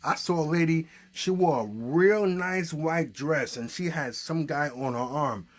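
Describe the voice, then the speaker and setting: A man's voice: a little high, a little slow. A man hosting an improvised puppet show, prompting a story along with conversational questions. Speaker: puppeteer host.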